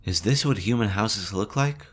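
A man voicing a nervous, gentle young male slime monster in an audio roleplay, talking softly and hesitantly. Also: lowish voice, expressive, normal speed, breathy edge. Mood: chatty. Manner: soft, hesitant, chatty.

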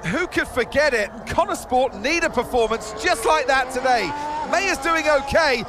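Lead race commentator for a game: very high, excited, projected live-sport delivery over music. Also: male voice, normal speed. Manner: dramatic, projected.

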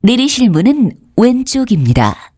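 A woman's voice: fairly high pitch, sing-song, normal speed, dark tone, slightly rough, no room sound, clean studio sound. A woman reading scripted station announcements as a subway announcer.

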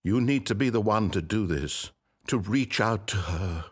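An actor playing a dry, sardonic male British storybook narrator in a video game, with a slightly husky voice. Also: on the low side, expressive, a little slow, no echo. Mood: dry wry. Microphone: close studio mic. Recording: dry studio recording.

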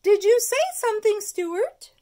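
A woman voicing a prim schoolteacher, loud and high as she questions a pupil. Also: measured pace. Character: shrill grown-up female schoolteacher.

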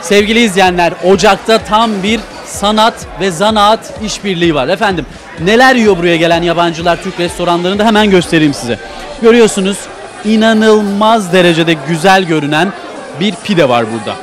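A man's voice: high-pitched, a little fast. A young man reporting on location in a restaurant, presenting with enthusiasm into a handheld mic. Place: busy restaurant. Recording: noisy restaurant with music. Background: music and crowd chatter.

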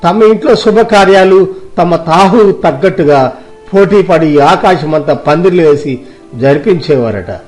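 A man's voice: fairly high pitch, animated, unhurried, a little mellow, faint music behind. A man playing a principled, kindly middle-aged farmer in a cartoon story, earnest and preachy. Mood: chatty.

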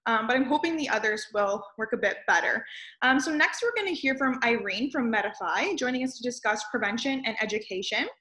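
A woman moderating a video-call webinar, reading out a speaker's introduction in a formal, brisk way. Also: a little high, bright, forceful tone, rough recording.

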